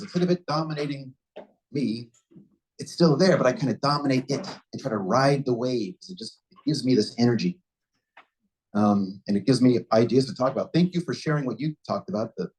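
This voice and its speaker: A man's voice: medium pitch, a little mellow, husky, low-quality recording. A man giving an impromptu answer at a club meeting, reflective and often restarting his sentences. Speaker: club member answering a question. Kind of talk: impromptu speech.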